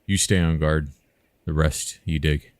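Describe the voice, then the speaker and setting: Gruff, bossy male overseer for interactive fiction, put on by a man reading aloud, very low, emphatic orders. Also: fairly even intonation, measured pace, no room sound. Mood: commanding.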